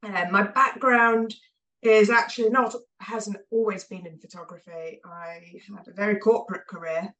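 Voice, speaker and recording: A woman's voice: mid-range voice, steady tone, normal speed, fairly bright tone, recorded from a distance, rough recording. A woman in her forties with a southern English accent, chatting casually and telling her story as a podcast guest. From southern England.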